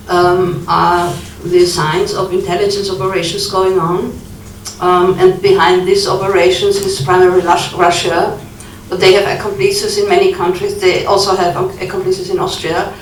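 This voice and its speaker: A woman in the audience giving a long earnest comment, halting with many ums. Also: fairly low pitch. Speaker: audience member. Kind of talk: conference Q&A comment. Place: conference hall. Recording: echoey hall, distant mic, faint noise.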